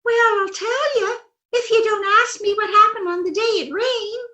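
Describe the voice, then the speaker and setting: A woman's voice: very high voice, moderate pace, lo-fi sound, background noise. A woman playing a scatterbrained, innocently coy wife in old-time radio comedy, with a childlike manner and roomy, echoing sound.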